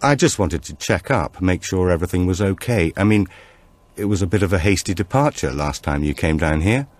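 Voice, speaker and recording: A man's voice: sits low, lively intonation, fairly quick, a little mellow. A man voicing a troubled, apologetic father, earnest and uneasy, in an audiobook thriller. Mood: uneasy, probing.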